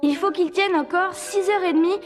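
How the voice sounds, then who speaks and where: An actress voicing a precise, matter-of-fact schoolchild in a French cartoon dub. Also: female voice, extremely high pitch, moderate pace, faint music behind. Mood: factual, incredulous.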